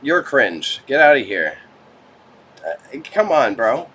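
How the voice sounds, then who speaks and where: A man's voice: medium pitch, big pitch swings, takes it slowly, sharp tone, slight background noise. A Canadian man chatting casually with viewers as a livestream host, with slangy, joking banter. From Toronto, Canada. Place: small warehouse space stacked with goods.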